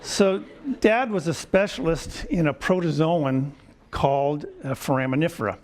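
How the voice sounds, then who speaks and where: A man's voice: a little high, normal speed, a little mellow, slight background noise. Older man telling wry life stories. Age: 70s. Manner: wry, chuckling, clears throat often. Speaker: guest lecturer. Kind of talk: personal storytelling lecture. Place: lecture hall.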